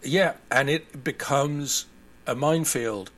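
Mid-pitched man giving conversational commentary. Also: takes it slowly, fairly bright tone. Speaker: interview guest, commentator. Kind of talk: conversational analysis.

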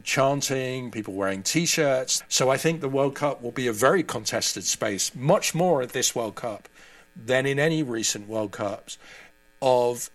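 A man's voice: mid-range voice, fairly bright tone. A man speaking as an interview guest, giving conversational analysis and commentary.

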